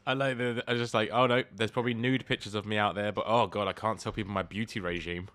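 A man banters as a comedy podcast co-host in a fairly low voice. He rambles through sweary riffs, recorded close and dry with no room sound. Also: fairly even intonation, quick pace, fairly bright tone, dry recording. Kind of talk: casual comic banter.

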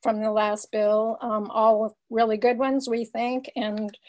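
An older woman giving an informal explanatory presentation over a video call, often halting as she searches for words. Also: on the high side, flat delivery, a little mellow, a little hiss or hum. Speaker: guest speaker, peace advocate. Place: small home room, indoors. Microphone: computer webcam on a video call.

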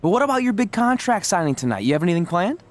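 An actor playing an eager, self-assured young wrestler in a video game cutscene, upbeat and a little self-absorbed. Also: male voice, sits high, big pitch swings, racing. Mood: eager, confident.

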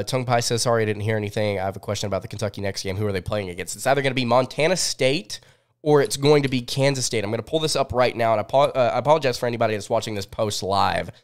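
A man's voice: lowish voice, fast, smooth. A man in his twenties with a cold, hosting a sports podcast in a casual, informal way, thinking aloud into a close desk microphone. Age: 20s. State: sniffly with a cold. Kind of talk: casual live sports recap chat. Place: small indoor home studio.

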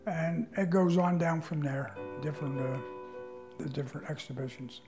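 An elderly man in his eighties speaking with halting, measured phrasing in a documentary interview. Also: a little high. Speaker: museum treasurer, interviewee. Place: indoors, wood-panelled room. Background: faint instrumental music.

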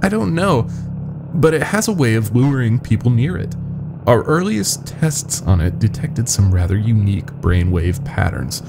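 A man's voice: medium pitch, big pitch swings, normal speed, vocal fry, background noise, voice pushed up. A man voicing a nervous, foul-mouthed young lab worker confessing a horror with urgency. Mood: uneasy.

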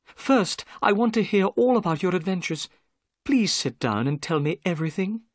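A man reading a storybook, voicing a kind, calm young woman in a raised, soft voice. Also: high-pitched, normal speed, dry recording, clean studio sound, pitched above the performer's own voice. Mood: gentle.

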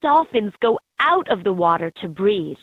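A woman's voice: mid-range voice, big pitch swings, moderate pace. A woman reading scripted narration as the guide in a children's learning game, recorded as lo-fi toy audio. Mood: instructive.

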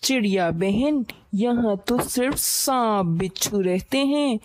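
A woman voicing a big, sly, hungry male snake in a children's cartoon, scheming and falsely friendly with drawn-out endings. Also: fairly high pitch, rough recording.

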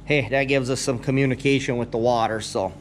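A middle-aged man, a farmer, telling a rambling story in a plainspoken way with many pauses and ums, recorded on a camera inside a big old wooden barn. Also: medium pitch, steady tone, slight background noise. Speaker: farmer vlogger. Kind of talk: casual walkthrough narration. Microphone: action camera, held or set nearby.

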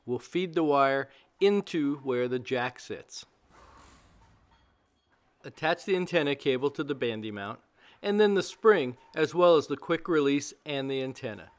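A man's voice: mid-range voice, lively intonation, a little slow. A man giving scripted how-to narration as the narrator of a DIY video, explaining plainly step by step.

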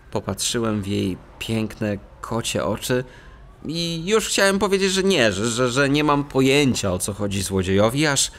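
An actor playing an excitable, polite male cat detective who tells his story with earnest expression in a children's audio drama. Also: medium pitch, vocal fry.

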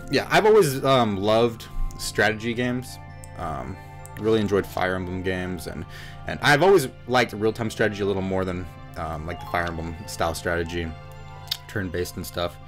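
A man's voice: sits low, normal speed. A man giving joking, sarcastic gameplay commentary as host on a headset. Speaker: let's play host. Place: small room indoors. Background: game music.

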